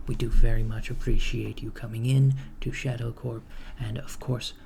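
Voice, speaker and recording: A mild, polite recruiter for a villainous company , played by a man in an ASMR roleplay. He speaks softly and hesitantly at a middle pitch with plenty of vocal fry, staying matter-of-fact about henchman work. Keyboard typing and clear background noise sit behind the voice. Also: flat delivery, moderate pace. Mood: polite, businesslike. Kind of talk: ASMR roleplay, job interview.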